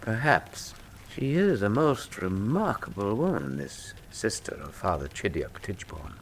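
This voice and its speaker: An actor playing an urbane, self-assured British detective in a radio drama, suave, theatrical and dryly ironic. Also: male voice, on the low side, sing-song, unhurried, slight background noise. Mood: measured, confiding.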